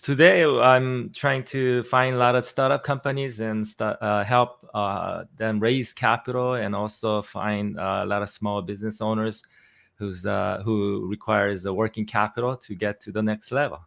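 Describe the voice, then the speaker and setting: A man in his fifties from South Korea, speaking English with a Korean accent as a finance CEO guest in a casual interview, halting with fillers and restarts. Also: lowish voice, level tone, gravelly, fairly bright tone. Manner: halting, many fillers and restarts, quick laughs.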